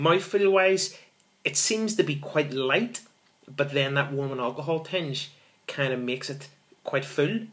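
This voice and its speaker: Guy in his 30s doing a beer review at home, keen, keeps saying folks, mid pitched. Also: fairly bright tone. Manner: enthusiastic, repeats words, calls viewers folks. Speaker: beer reviewer, channel host. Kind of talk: casual beer review vlog. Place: small room indoors.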